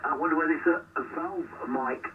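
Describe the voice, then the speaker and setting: A man's voice: fairly high pitch, a little fast. A man coming through a shortwave radio transmission, an amateur operator chatting casually in a rambling, enthusiastic way. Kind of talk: casual chat over ham radio. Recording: noisy narrowband shortwave radio, distant.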